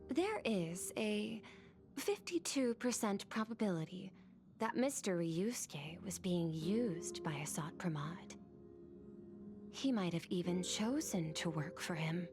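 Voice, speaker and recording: A woman's voice: on the low side, expressive, slow, darkish tone. A woman voicing a formal, analytical aide in a video game, precise and deferential as she reports. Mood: careful, deferential. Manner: precise, deferential, matter-of-fact. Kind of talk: scripted video game dialogue. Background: prominent music.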